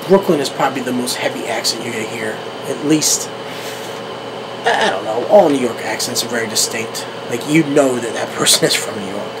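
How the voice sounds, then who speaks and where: A young man from New Jersey with a central New Jersey accent, rambling casually to his camera in a solo vlog. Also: medium pitch. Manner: rambling, with many restarts and fillers, laughing at himself. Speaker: vlogger. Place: room at home, talking to a camera on a tripod. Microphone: camera microphone. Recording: noisy home camera recording.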